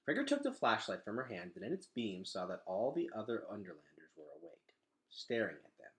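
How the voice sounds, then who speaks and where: A man reading a children's novel aloud as an expressive storyteller. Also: on the low side, a little fast.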